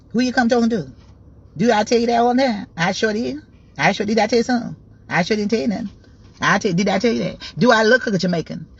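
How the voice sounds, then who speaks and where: A woman voicing a defiant woman who insists over and over that she is American, in a lower, dismissive tone. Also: fairly bright tone, breathy edge, highs cut off.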